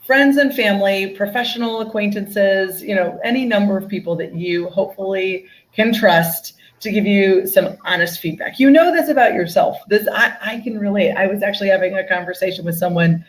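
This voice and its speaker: A woman's voice: medium pitch, brisk, few pauses, rough recording. Chatty upbeat woman giving career advice. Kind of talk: live panel discussion.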